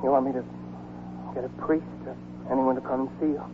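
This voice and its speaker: A man's voice: medium pitch, level tone, fast, noisy background, close to an everyday voice. An actor playing a grieving, devoted man telling of his lover's death in a 1940s radio drama. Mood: anxious, tender. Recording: tinny, muffled 1940s radio broadcast.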